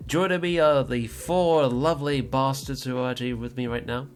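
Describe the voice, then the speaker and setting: A man hosting a casual livestream podcast, talking in a rambling, playful way with plenty of teasing. His voice sits at an ordinary middle pitch, and faint music plays underneath. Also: fairly even intonation, moderate pace. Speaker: podcast host.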